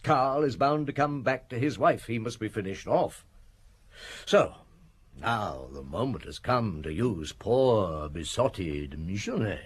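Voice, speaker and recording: A relentless police chief inspector in a radio mystery. His husky voice swings widely in pitch as he lays out accusations. He is deliberate and probing, pausing for effect. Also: animated, full character voice. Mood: accusing, methodical. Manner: deliberate, probing, with dramatic pauses. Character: shrewd, relentless male French police chief inspector summing up. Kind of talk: radio detective drama acting.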